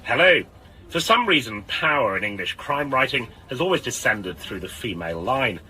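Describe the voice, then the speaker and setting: A bookish male arts presenter on the radio. His delivery is articulate and deliberate, with a forceful, bright tone and expressive swoops of pitch. Faint noise sits behind it. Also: low-quality recording. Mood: presenting. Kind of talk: scripted broadcast introduction and interview.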